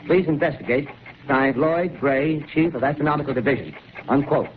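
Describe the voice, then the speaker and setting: An actor playing an earnest male radio reporter in a 1930s radio drama, speaking in a slightly halting newsman manner. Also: on the high side, close to an everyday voice. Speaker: field commentator. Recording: noisy tinny 1930s radio broadcast.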